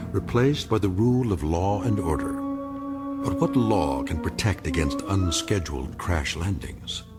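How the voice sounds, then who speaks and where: A man narrating an eerie audio drama as a solemn storyteller, in a measured, portentous way. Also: sits low. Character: solemn, ominous male storyteller of eerie tales.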